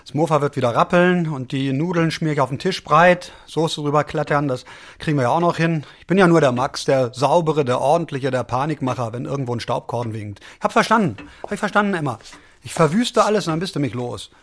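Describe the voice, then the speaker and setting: Ein Schauspieler spielt in einem Hörspiel einen pingeligen, ängstlichen, introvertierten Mann mit höflicher, selbstironischer Art. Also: male voice, on the high side, brisk, natural voice. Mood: exasperated, sarcastic. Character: fussy, tidy, anxious, introverted man. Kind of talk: German radio drama acting.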